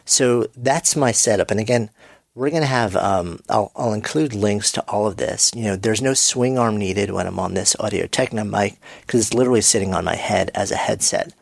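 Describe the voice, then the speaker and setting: A man's voice: fairly low pitch, normal speed, gravelly, no room sound. A man giving a casual, friendly walkthrough of his podcast gear, switching between a studio mic and a headset mic. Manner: friendly, demonstrative, self-deprecating. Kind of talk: casual explainer talk. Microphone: studio dynamic mic on swing arm, later headset mic. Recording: dry close-mic sound.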